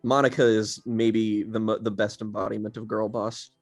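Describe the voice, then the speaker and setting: A man on a gaming podcast, teasing his friends with mock outrage and loud laughter. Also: fairly low pitch, little pitch movement, normal speed, dry recording, faint music behind. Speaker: let's play co-host. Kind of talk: casual podcast banter.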